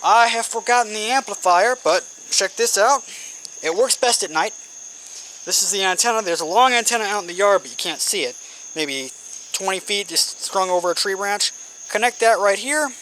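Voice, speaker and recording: Male hobby presenter, forward bright tone, casual hands-on explaining, amateur recording with light background noise. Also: high voice, lively intonation, normal speed. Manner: informal, thinking aloud while working. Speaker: hobbyist presenting an electronics build. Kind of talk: casual how-to demonstration. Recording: noisy amateur recording with insect hum.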